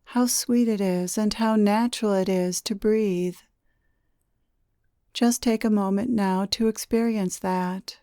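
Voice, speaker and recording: A woman leading a guided meditation, speaking in a gentle, soothing way with deliberate pauses. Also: medium pitch, fairly even intonation, clear, resonant tone, no room sound, clean studio sound. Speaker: guided meditation leader, channeler.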